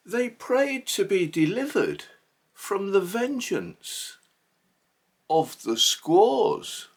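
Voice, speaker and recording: Male poetry reader, husky, theatrical and emphatic, slow delivery with hugely varied pitch. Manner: theatrical, emphatic, deliberate phrasing. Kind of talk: dramatic poetry recitation.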